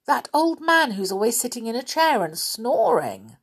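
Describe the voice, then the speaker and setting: A woman's voice: a little high, sing-song, normal speed, husky, pitched above the performer's own voice, full character voice. A woman voicing a young girl who exclaims and scolds in shock.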